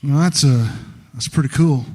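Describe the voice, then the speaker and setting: A man playing a hesitant, easygoing witness in an audio drama, halting, mumbling and deferential. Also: mid-range voice, a little mellow, close to an everyday voice. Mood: wary, amused. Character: hesitant, easygoing male witness wary of police.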